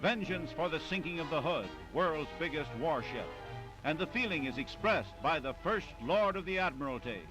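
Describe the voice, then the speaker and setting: A man's voice: fairly high pitch, expressive, normal speed, low-quality recording. A man narrating a 1940s newsreel in dramatic, declamatory, clipped phrases. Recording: vintage 1940s newsreel soundtrack with music.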